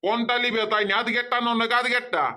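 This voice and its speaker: Someone acting old village hawker, bright forceful voice, repetitive sales pitch, Purulia Bengali accent, comedy cartoon. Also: fairly even intonation, fast talker, lightly acted. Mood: bargaining. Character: insistent old village duck seller, a grandfatherly hawker.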